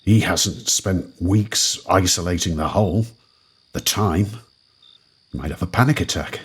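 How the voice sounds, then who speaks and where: A man's voice: deep voice, a little slow, slightly rough, full character voice. An actor playing a nervous, desperate man in debt who stammers and pleads in an audio drama. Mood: brooding.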